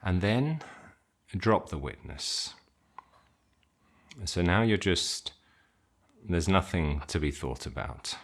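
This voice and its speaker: A man with an extremely low voice guides a meditation. He speaks slowly, with plenty of space in his delivery. His phrasing is informal and a little hesitant, as he offers simple, gentle instructions. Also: lively intonation.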